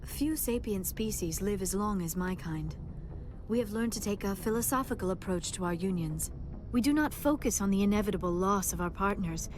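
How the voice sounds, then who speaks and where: A woman playing a gentle, scholarly female alien in a video game, speaking in formal, measured and precise phrases. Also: medium pitch, natural voice. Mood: philosophical, calm. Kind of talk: video game dialogue acting.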